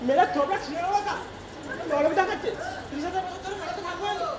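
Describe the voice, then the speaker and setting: Guy doing cocky young train robber ghost, stage comedy, super high voice, slangy threats, echoey hall. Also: rapid-fire, over a noisy crowd. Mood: menacing. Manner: brash, slangy, threatening gangster swagger. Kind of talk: comic Bengali stage play acting. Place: theatre stage at a drama festival. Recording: echoey stage recording, audience noise.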